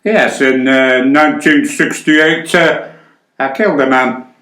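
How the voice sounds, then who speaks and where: Man acting a confused old man, echoey, bright-voiced. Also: medium pitch. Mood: muddled remorse. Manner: halting, repetitive, muddled. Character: confused, guilt-ridden elderly male sports commentator under medical care. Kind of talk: radio play acting. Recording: roomy indoor echo.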